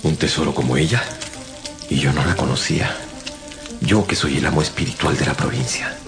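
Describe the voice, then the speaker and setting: A brooding, self-important priest in a radio drama. The voice is extremely deep and gravelly, with music playing behind. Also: male voice, a little fast. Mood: proud musing.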